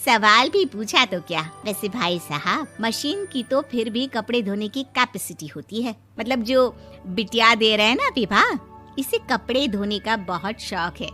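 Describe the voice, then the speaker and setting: A woman's voice: a little high, expressive, unhurried, pushed and bright, soft background music. A woman voicing a boastful middle-aged mother of the bride in a comic cartoon story, gushing and chatty.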